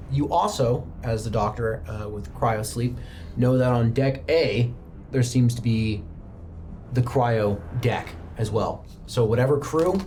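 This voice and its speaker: A man's voice: mid-range voice, darkish tone, a little hiss or hum. A man narrating a tabletop roleplaying game as game master, improvising as he goes.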